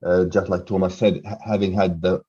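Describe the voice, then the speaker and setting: A man from Finland, a documentary producer and podcast guest, talking in a halting way with many repeated words. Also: sits low, level tone, mellow, husky, dull audio. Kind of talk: casual interview answers.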